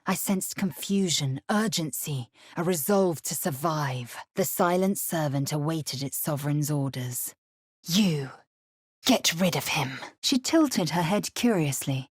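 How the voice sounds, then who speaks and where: A woman voicing a fierce, proud githyanki warrior in a video game, imperious and clipped in manner, with a husky, breathy voice. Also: sits low, expressive, a little slow, no room sound, clean studio sound, exaggerated voice. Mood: commanding.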